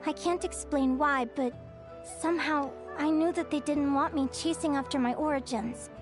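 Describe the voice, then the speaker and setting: A woman's voice: high voice, steady tone, moderate pace, over music, natural voice. A woman playing an earnest, bookish young fae naturalist who tells her story in an articulate, thoughtful way, as video game dialogue. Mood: wistful.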